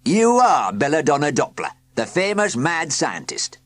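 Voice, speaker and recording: A stern, officious inspector who speaks with a slow, firm weight, as a reader puts him on, husky and a touch raised in pitch. He sounds like a bureaucrat in a children's storybook. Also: very animated.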